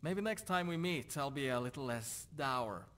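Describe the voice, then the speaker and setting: Male actor as a disillusioned nobleman, medium pitch, gloomy, self-doubting, fantasy game dialogue. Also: animated, normal speed, a bit bright, lightly acted. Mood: dour, weary. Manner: gloomy, brooding, self-questioning. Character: dour, disillusioned Nordic nobleman doubting his purpose. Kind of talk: video game character dialogue.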